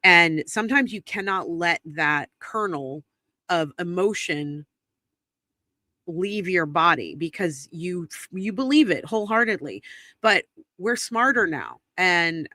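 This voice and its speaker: A woman's voice: on the low side, expressive, takes it slowly, sharp tone, dry recording, studio quality. A congested woman co-hosting a true crime livestream, talking casually and thinking aloud with many ums and restarts. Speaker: true crime stream co-host. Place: home studio near the DC area. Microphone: close streaming mic.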